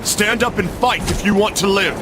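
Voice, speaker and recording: A man's voice: sits high, normal speed, noisy background, natural voice. A man playing a bold, proud young Templar warrior in a video game, earnest and declamatory. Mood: determined. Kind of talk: video game cutscene acting.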